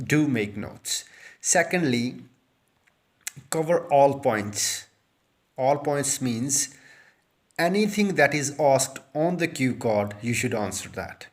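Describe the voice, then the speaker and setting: Urdu-speaking man coaching on a phone. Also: medium pitch. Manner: earnest, repetitive, with many fillers and restarts. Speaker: IELTS speaking tutor. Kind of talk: unscripted tutorial monologue to camera. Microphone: phone held close, front camera.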